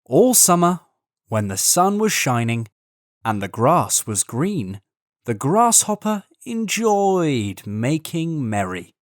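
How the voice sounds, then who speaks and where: A storyteller narrating a scripted children's tale in an expressive, deliberate way. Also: mid-range voice, dry recording, clean studio sound.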